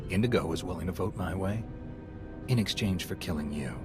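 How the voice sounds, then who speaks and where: Low-voiced, upright heroic commander, played by a man. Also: a little fast, close to an everyday voice. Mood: businesslike. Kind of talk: video game cutscene acting. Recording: music behind the voice.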